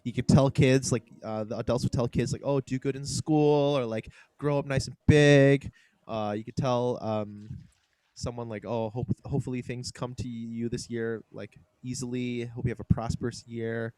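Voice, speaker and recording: A man's voice: mid-range voice, fairly even intonation, normal speed, warm tone, no echo. A man co-hosting a casual chat, rambling through explanations with plenty of filler words. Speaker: podcast co-host.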